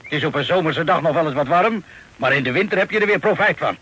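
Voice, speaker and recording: An actor playing a bluff, hearty Dutch sea captain in a radio play, with a jovial, salty, colloquial way of talking. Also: male voice, a little high, lively intonation, quick pace, sharp tone, husky, full character voice. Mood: jovial storytelling. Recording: noisy old radio play recording.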